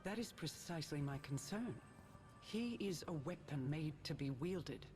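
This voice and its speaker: A woman playing a regal, enigmatic queen in a game cutscene, speaking in a formal, measured and knowing way. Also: low-pitched, animated, a little mellow, soft background music. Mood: stern, wary. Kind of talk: scripted game cutscene acting.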